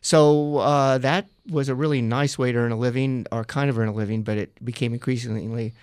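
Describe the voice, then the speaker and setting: A man's voice: medium pitch, fairly even intonation, a little fast, a little mellow, clear, resonant tone, no echo. A man from San Diego, a museum director, answering interview questions on a radio show with dry, self-deprecating humor. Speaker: museum director, studio guest. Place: radio studio.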